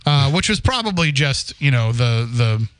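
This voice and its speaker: A man's voice: mid-range voice, very animated, moderate pace, fairly bright tone, smooth. A man in his forties, originally from the Boston area, hosting a podcast and telling its story in a chatty, rambling way. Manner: storytelling, chatty with frequent restarts and laughs. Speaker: podcast host. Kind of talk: casual chat.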